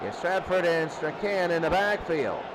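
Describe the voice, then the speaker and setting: A man doing live play-by-play football commentary, excitable and rising to shouts on big plays. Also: fairly high pitch, moderate pace. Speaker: play-by-play announcer. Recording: loud crowd and music behind. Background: crowd noise and music.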